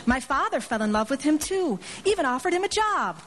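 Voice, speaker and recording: A proud, perky young American bride in a stage radio play. Her fairly high voice moves with lively swings over faint noise. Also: brisk. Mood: bright, proud. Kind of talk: live radio drama acting.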